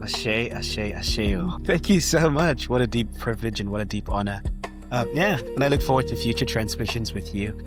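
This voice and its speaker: A man's voice: mid-range voice, fairly quick, over music. A man hosting a podcast interview, speaking conversationally in a respectful, deferential way.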